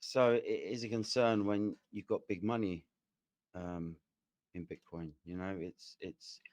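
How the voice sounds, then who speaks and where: A man from talks hesitantly in a voice chat. His voice is fairly low and his sentences trail off into quiet. The sound is dry and close. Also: steady tone, unhurried, dry recording. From London, England. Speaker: voice chat participant. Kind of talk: casual chat.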